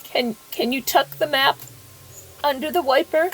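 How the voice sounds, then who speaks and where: A woman playing an anxious, devout widow in an audio drama, halting and repeating herself, with formal, old-fashioned phrasing. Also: high voice, a bit bright, soft background music, rough recording. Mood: hesitant, pleading. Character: anxious, devout widow, overwhelmed, speaking to her dead husband's likeness. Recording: faint background noise.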